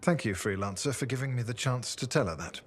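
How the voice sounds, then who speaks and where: An actor playing a quiet, reflective man with a troubled past in a video game. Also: medium pitch, expressive, brisk, slight background noise, close to an everyday voice. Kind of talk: video game cutscene acting.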